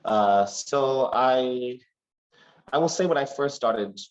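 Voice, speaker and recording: A man's voice: mid-range voice, slow, clean tone. A man from Zimbabwe answering interview questions about his life and art in a thoughtful, hesitant way, with many fillers and restarts. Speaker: artist guest. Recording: slightly dull lo-fi recording.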